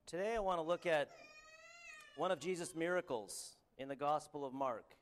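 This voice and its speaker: A man with a Canadian accent preaching a sermon and reading scripture, earnest and halting, with frequent ums and restarts. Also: a little high, a little hiss or hum.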